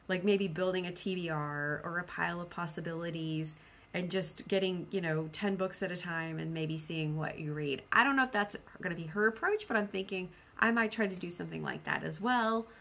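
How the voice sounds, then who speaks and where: A woman's voice: on the low side, creaky, a bit bright. A woman talking casually to her viewers as a vlog host, rambling and thinking aloud as she goes. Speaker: host of a book channel.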